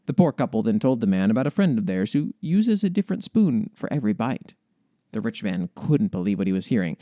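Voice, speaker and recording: Guy reading fantasy stories on a podcast, soft dark warm voice, measured n expressive, no room sound at all. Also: mid-range voice, no echo, clean studio sound. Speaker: podcast host. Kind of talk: reading written fantasy lore aloud with casual commentary.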